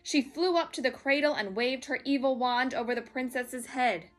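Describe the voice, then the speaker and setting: A woman storyteller reading a children's story aloud in a dramatic, expressive way. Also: high-pitched, normal speed, faint music behind.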